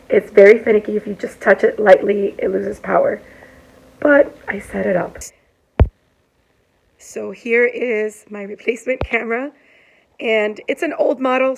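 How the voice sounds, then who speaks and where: A woman's voice: medium pitch, gravelly, rough recording. A woman teacher who is losing her voice vents casually to her phone at arm's length in a classroom. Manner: upset, venting. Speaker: teacher vlogger. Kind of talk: casual classroom vlog recap. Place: classroom, indoors.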